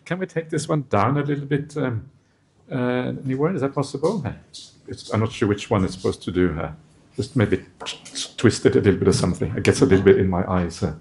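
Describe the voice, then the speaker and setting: A Buddhist monk teacher, a man, giving an informal dharma talk to a live group in a meditation hall, relaxed and chatty and chuckling often. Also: mid-range voice, fast talker, a little hiss or hum.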